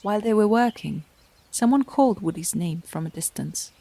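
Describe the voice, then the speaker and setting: A woman's voice: medium pitch, expressive, normal speed, creaky, mellow. A woman narrating an animal tale as a scripted storyteller in an audio drama.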